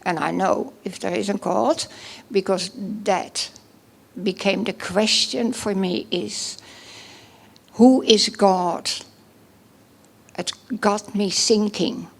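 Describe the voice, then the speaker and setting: A middle-aged woman from the Netherlands with a Dutch accent, giving a personal testimony at a church service in a halting way, with many pauses and restarts. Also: medium pitch, gravelly.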